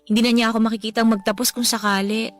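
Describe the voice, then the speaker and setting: A woman's voice: fairly high pitch, little pitch movement, fast talker, faint music behind, close to an everyday voice. A woman playing a devoted young adult daughter with a Filipino accent in a radio drama, respectful and earnest. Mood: wistful. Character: devoted, respectful young daughter missing her late father.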